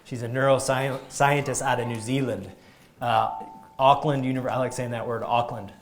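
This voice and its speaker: Energetic man giving a health talk. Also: medium pitch, moderate pace. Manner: energetic, emphatic, frequent restarts. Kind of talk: live health talk to a group.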